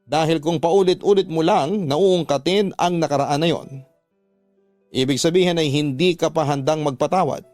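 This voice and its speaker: A man with a Filipino accent hosting a radio-drama letter show, speaking in an earnest, measured, sentimental way. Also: on the high side, a little mellow, no echo, soft background music. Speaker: host reading listeners' letters. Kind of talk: radio drama narration and advice.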